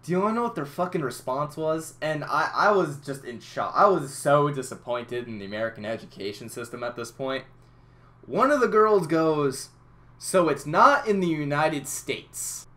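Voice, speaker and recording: A man's voice: fairly high pitch, lively intonation, moderate pace, pushed and bright. A young man from Massachusetts with a headset mic in a small room, telling a rambling, swear-filled story over game footage. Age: young adult. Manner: rambling, frequent swearing and restarts. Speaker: gaming YouTuber. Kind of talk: casual storytelling over gameplay.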